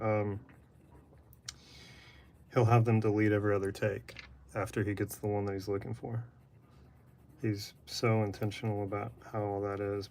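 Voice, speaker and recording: A man chatting in a flat, monotone, rambling way while drawing live in his apartment. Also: fairly low pitch, vocal fry, slight background noise. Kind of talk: casual chat while drawing. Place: apartment room.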